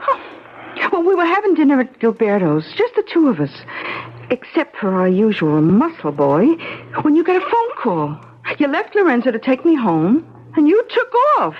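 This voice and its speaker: Clingy, slangy mobster's girlfriend, anxious and affectionate. Very high, swooping voice, vintage radio drama. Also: moderate pace. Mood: puzzled, teasing. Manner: slangy, breezy, expressive. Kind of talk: 1970s radio drama acting. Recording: dull 1970s radio broadcast, slight noise.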